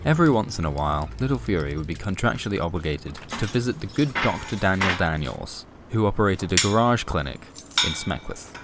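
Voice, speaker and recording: Deep-voiced deadpan man narrating over music. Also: fairly quick. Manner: deadpan, wry. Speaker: narrator and presenter. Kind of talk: scripted noir comic fiction narration. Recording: music behind the voice.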